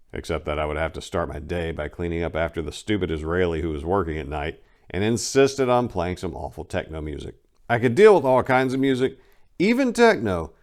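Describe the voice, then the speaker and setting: A man giving a dramatic reading of a first-person book, telling it conversationally and punching emphatic words before dropping low at phrase ends. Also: darkish tone. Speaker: reader of a memoir.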